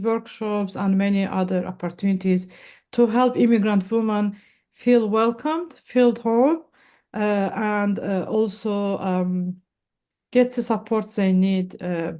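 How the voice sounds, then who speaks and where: A young woman explains her organization in a calm, measured way over a video call. Her voice is mellow and smooth, and she talks at an unhurried pace. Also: mid-range voice. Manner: measured, explanatory, with light laughs and fillers. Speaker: nonprofit founder, guest. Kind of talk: casual video-call interview. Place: Silicon Valley, indoors.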